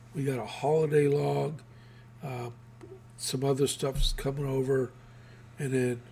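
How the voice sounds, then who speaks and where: A man's voice: medium pitch, a little mellow, husky. A man from Texas hosting his own political news show, talking casually with many fillers and restarts while losing his voice.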